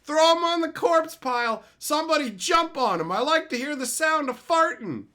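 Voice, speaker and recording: A man's voice: extremely high pitch, lively intonation, moderate pace, sharp tone, pitched above the performer's own voice, full character voice. A man voicing a callous, crude male hospital worker who jokes over a patient. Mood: mocking. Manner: crude, mocking.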